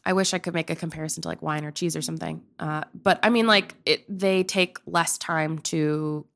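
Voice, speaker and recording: A woman's voice: deep voice, fairly even intonation, normal speed, vocal fry, a bit bright. A young woman from Pennsylvania, a guest in a casual interview, talking in a rambling, chatty way full of filler likes. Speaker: guest, opera-trained improv performer.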